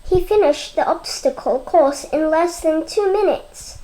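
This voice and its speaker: A child reading a storybook aloud in a halting way, stumbling and repeating words. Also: extremely high pitch, dark tone, rough recording. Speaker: read-aloud presenter.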